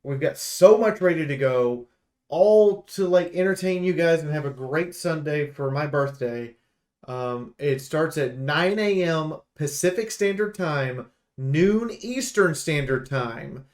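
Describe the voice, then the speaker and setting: A man's voice: fairly high pitch, animated, slow pace. A man giving casual, opinionated game preview commentary in an emphatic, rambling, sweary way. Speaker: YouTube host and game reviewer.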